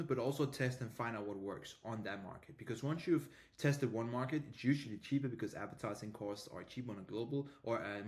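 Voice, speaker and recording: A man giving an informal tutorial as a marketing coach, explaining things with frequent filler phrases and repetition. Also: medium pitch. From Denmark. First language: Danish.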